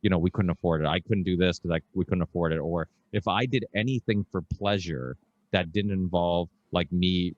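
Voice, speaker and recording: A man from Portugal hosting a podcast, chatting casually with lots of restarts and animated asides. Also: sits low, normal speed, muffled recording. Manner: talkative, animated storytelling with frequent restarts. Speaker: podcast host. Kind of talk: casual conversation.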